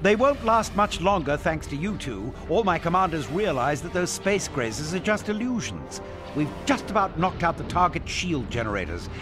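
A skeptical military starship captain, in a space opera audio drama. He barks urgent orders in a high, extremely animated voice while music plays behind him. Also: normal speed. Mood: commanding. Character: gruff, excitable, skeptical male starship captain.

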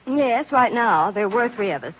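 An actress playing a polite, helpful young foundation worker in a 1940s radio drama, with an American accent and proper diction. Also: female voice, a little high, animated, moderate pace, slight background noise, natural voice. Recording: muffled 1940s radio recording.

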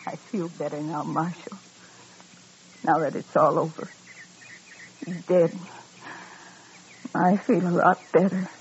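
A woman's voice: deep voice, steady tone, takes it slowly, pauses often. Crazy, bitter frontier woman, muffled recording. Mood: eerily calm. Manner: rustic folksy speech, brooding, childlike repetition. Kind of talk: old-time radio western acting. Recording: dull, noisy old radio recording.

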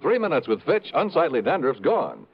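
A male announcer from 1950s radio delivers scripted copy with polished, punchy salesmanship. His intonation is very animated, and the recording is thin and tinny, almost like a telephone. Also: a little high, moderate pace, husky.

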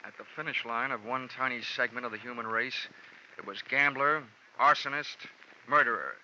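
A man's voice: medium pitch, moderate pace, sharp tone, a little hiss or hum. An actor playing a wry, tough, wisecracking American insurance investigator who narrates his case in a 1940s radio detective drama. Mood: wry, relaxed. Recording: dull vintage 1940s radio recording. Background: dramatic radio music.

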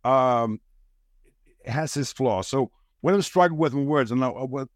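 Halting Dutch-accented man, dry sound. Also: medium pitch, dry recording. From Netherlands. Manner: halting, many restarts and fillers, searches for words. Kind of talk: casual conversation.

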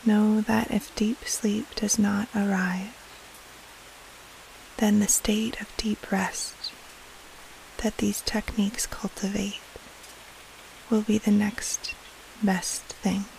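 Hushed, very slow woman guiding sleep meditation. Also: mid-range voice, flat delivery, rough recording. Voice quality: soft, breathy, half-whispered. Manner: soothing, hushed, long pauses between short phrases. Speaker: meditation guide, podcast host. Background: soft rain-like hiss.

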